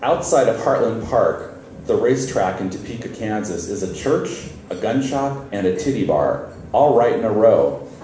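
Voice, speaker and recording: A very echoey, distant stage recording of a man reading a personal story to a live event. His voice is low and mellow, and his delivery is wry and conversational. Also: expressive, normal speed. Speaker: reader at a literary event. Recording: very echoey hall, distant mic, faint noise.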